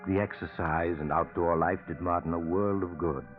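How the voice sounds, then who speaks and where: An extremely low-pitched storyteller , played by a man narrates with grave, measured delivery in 1940s radio style. Music plays clearly behind him. The old broadcast is muffled. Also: fairly even intonation, lightly acted. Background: loud background music.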